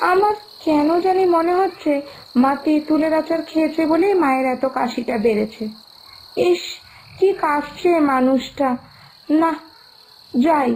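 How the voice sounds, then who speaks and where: A woman playing a caring, fretful young daughter-in-law in a cartoon, with exaggerated, expressive delivery. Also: high voice, slight background noise, low-quality recording. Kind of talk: scripted cartoon dialogue.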